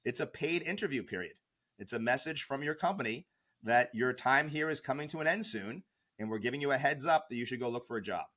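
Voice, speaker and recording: A man's voice: medium pitch, animated, a little fast, fairly bright tone. Passionate man arguing his point. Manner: passionate, argumentative, many fillers. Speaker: guest expert. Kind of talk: interview answers.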